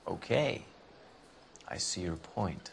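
Casting: aloof starving writer, 1940s crime game, fry in the voice, guarded delivery. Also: male voice, sits low, expressive, slow pace, exaggerated voice. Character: aloof starving male writer under questioning. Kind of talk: game cutscene acting. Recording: background ambient noise.

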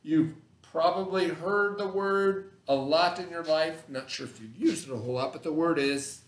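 A man's voice: on the high side, moderate pace, rough recording. A middle-aged man teaching a remote lesson to children, explaining simply, repeating key terms and checking understanding with short tags. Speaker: elementary school teacher. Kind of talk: remote read-aloud vocabulary lesson for children. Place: classroom, indoors.

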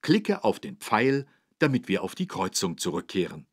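A low, husky-voiced man as a friendly teacher in a children's learning game. His scripted narration is patient and encouraging, with very lively intonation. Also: normal speed, no echo, studio quality. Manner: encouraging, clearly didactic. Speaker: instructor and narrator.

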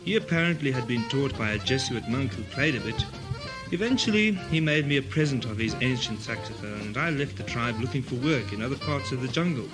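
A man's voice: medium pitch, lively intonation, brisk, music in the background, close to an everyday voice, low-quality recording. Melodramatic, pompous adventurer played by a man. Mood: solemn, weary. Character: theatrical, aggrieved adventurer confessing his past. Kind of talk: comic radio melodrama.

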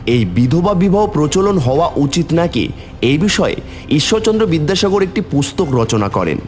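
A man's voice: a little high, sing-song, normal speed, a little mellow, soft background music. A man narrating a scripted story in a dramatic storytelling style.

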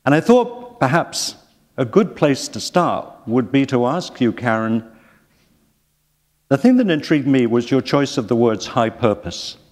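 Older man, onstage interviewer, measured, gently wry, lapel mic, long hall reverb. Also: mid-range voice, big pitch swings. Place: library event hall with audience.

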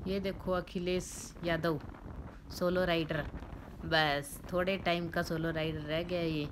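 A woman's voice: fairly low pitch, flat delivery, measured pace, background noise. A woman in her thirties chatting cheerfully to viewers in a casual travel vlog, filming with a phone at arm's length outdoors. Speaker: vlogger. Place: outdoors on a hill road. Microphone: phone held at arm's length.

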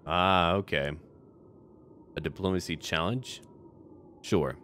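A man's voice: low voice, takes it slowly, noisy background. A man doing casual let's play commentary on a strategy game, musing to himself and addressing the characters in short remarks. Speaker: gaming video creator playing a strategy game.

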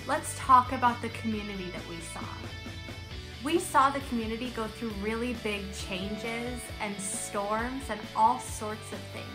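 A young woman reading and discussing a picture book for small children, in an expressive, questioning teacher's manner. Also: fairly high pitch. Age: young adult. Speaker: children's story-time teacher. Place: room at home, indoors. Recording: roomy echo, music underneath.

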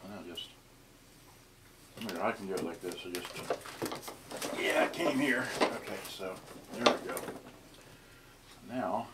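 An older man in his sixties with a lowish voice talks very slowly, in a halting, casual show-and-tell way. He mutters to himself between short phrases. The recording has clear background noise and clicks, picked up by a camera microphone indoors. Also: animated. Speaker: video host, boombox collector. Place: cluttered indoor storage room. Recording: noisy room recording with clicks.

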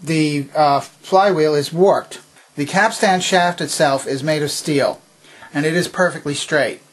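A man with a somewhat high, husky voice gives an instructional demonstration. He explains each part methodically, one step after another, as a presenter showing how something works. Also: unhurried. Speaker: presenter demonstrating vintage tape recorder. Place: indoor home workbench.

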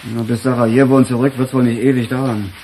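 Mellow, sardonic police chief played by a man. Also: medium pitch, little pitch movement, fast talker, a little hiss or hum, lightly acted. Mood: firm. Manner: dry, musing, sardonic. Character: weary, sardonic male police chief, middle-aged. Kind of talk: radio crime drama acting.

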